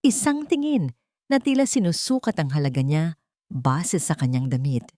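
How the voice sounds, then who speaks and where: A woman's voice: low-pitched, animated, normal speed, dark tone, dry recording, clean studio sound. A woman reading a scripted romantic drama as a storyteller.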